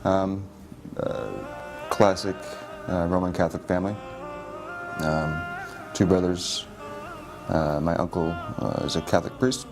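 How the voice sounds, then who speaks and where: An American man with a deep voice tells a personal story in deliberate, emphatic short phrases. Music is clearly present behind him. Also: steady tone. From Schenectady, New York, United States. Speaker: interviewee telling a conversion story.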